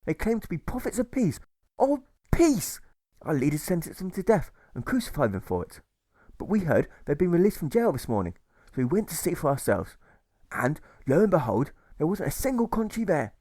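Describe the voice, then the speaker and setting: A man voicing an indignant, gossiping woman in an audiobook, shrill, emphatic and outraged. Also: sing-song, normal speed, dark tone, dry recording. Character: indignant, gossiping female money changer.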